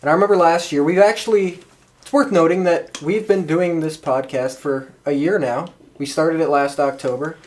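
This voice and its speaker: Podcast host just chatting, medium pitch, nothing formal. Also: moderate pace, a little mellow. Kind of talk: casual chat.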